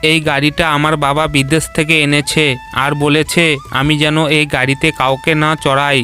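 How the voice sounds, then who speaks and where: Playful cartoon tiger cub, voiced by a man, very bright tone, childlike. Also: on the high side, level tone, moderate pace, faint music behind. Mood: secretive, friendly. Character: playful young boy tiger cub with toy car. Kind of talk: cartoon voice acting.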